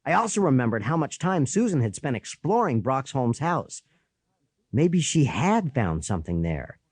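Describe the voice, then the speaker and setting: Animated male children's audiobook narrator, lively pitch. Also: normal speed, breathy edge, no room sound. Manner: animated, boyish storytelling. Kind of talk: scripted first-person narration of a children's story.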